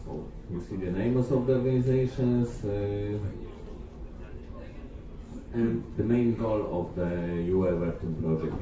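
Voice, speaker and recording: A man giving a live presentation as a project presenter, speaking halting non-native English with frequent hesitation. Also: lowish voice, dark tone, highs cut off, a little hiss or hum. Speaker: presenter of a comics competition project. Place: large festival hall, talk to an audience. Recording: very echoey hall, far mic, crowd murmur.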